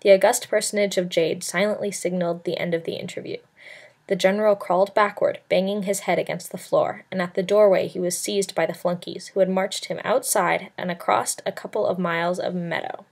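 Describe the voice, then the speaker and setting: A woman's voice: on the low side, fairly even intonation, a little fast, gravelly, a little mellow. A woman narrating a novel as an amateur read-aloud, in a scripted storybook style. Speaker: amateur audiobook reader.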